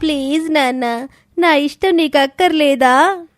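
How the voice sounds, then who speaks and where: A woman's voice: high-pitched, a little slow, faint music behind. A woman voicing a tearful young daughter in a dramatised story, pleading and aggrieved. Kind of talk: dramatised moral story acting.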